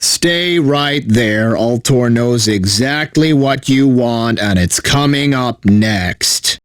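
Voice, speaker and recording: A man's voice: medium pitch, animated, takes it slowly, dry recording, studio quality. An actor playing a bitter, sardonic video game radio DJ who resents his corporate bosses, mixing station patter with theatrical despair. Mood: weary announcer patter.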